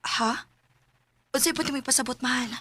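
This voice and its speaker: An actress playing a polite, gentle young woman, a sweetheart and daughter, in a Filipino radio drama. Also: sits high, moderate pace, a little hiss or hum. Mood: surprised.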